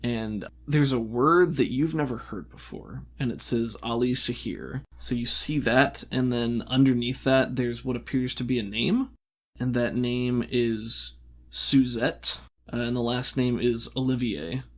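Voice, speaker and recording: A game master narrating a tabletop game in an improvised, casual way, with halting asides. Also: mid-range voice.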